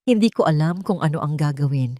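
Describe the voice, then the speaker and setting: A woman's voice: sits low, animated, brisk, mellow, no room sound, clean studio sound. A woman voicing a wounded, guilt-ridden rich son in an audio love drama, anguished and pleading. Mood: distraught.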